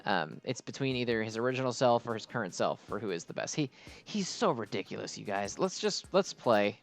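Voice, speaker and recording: Male gaming host, mid-pitched, loose and rambling casual commentary, music playing behind. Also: lively intonation, brisk, vocal fry. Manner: rambling, easily distracted delivery. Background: game music.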